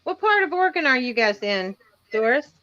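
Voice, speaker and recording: A woman with a Tennessee accent talks in a folksy, self-deprecating, chatty way. Her voice sits a little high and rings out brightly. The sound is close and dry, as on a casual livestream. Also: sing-song, fairly quick, dry recording, dull audio. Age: 40s. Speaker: livestream co-host. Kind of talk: casual chat.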